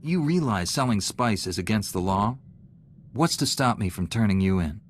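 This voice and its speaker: Deep-voiced earnest knightly hero, acted by a man. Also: animated, a little hiss or hum, lightly acted. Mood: skeptical. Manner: measured, serious, dry. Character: earnest, principled, straight-laced male Jedi knight hero. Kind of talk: video game voice acting, character dialogue.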